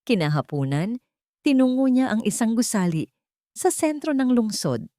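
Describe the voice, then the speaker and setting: A woman reading a scripted dramatic story as narrator, with deliberate, theatrical phrasing. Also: fairly high pitch, very animated, warm tone, no echo, clean studio sound.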